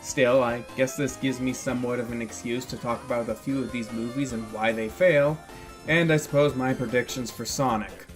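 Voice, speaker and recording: A man's voice: mid-range voice, steady tone, moderate pace, faint music behind. A man giving casual, opinionated film commentary in a blunt, sardonic way. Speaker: video essayist reviewing films.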